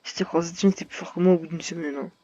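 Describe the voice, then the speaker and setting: A man chatting casually with another player during an online game, relaxed and quick to laugh. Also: a little high, highs cut off. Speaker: fellow player. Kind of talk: casual gaming chat.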